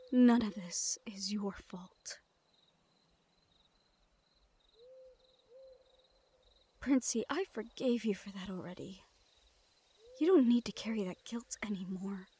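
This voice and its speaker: A woman playing a guarded but tender young thief in an intimate roleplay audio drama, speaking in a hushed, hesitant way. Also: mid-range voice, measured pace, pauses often, breathy edge. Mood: earnest, consoling.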